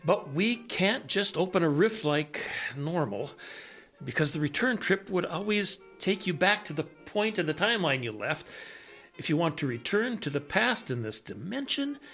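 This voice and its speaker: A man's voice: fairly high pitch, very animated, moderate pace, a bit bright, faint music behind, exaggerated voice. An actor playing a blinded, absent-minded scientist major, explaining hesitantly as he thinks aloud in an audio drama. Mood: thoughtful explaining.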